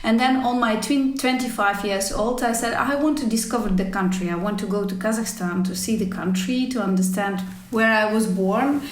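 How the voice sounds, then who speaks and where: In a roomy, echoing space, a woman. Her pitch is medium, and her comes out in halting but emphatic stretches, like an interview guest recounting a career. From former Soviet Union. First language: not English. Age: 40s. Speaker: podcast guest, cycling team executive. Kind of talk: conversational interview storytelling. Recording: roomy, echoey sound.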